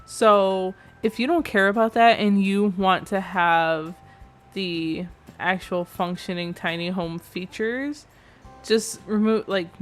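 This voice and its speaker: A young woman giving a rambling, chatty commentary over a game build, sounding a little nasal from allergies. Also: on the low side. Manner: chatty, rambling, self-correcting. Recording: faint background music.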